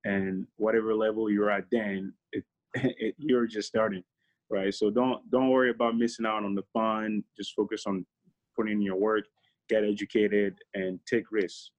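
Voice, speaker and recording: A man in his thirties, a guest in a casual interview, speaking in a relaxed, reflective way with many fillers. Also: on the low side, normal speed.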